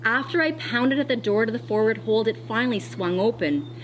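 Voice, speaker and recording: A woman schoolteacher reading a children's storybook aloud, expressively and deliberately, with dramatic pauses. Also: on the high side, a little hiss or hum, rough recording. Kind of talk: read-aloud of a children's storybook with teacher asides.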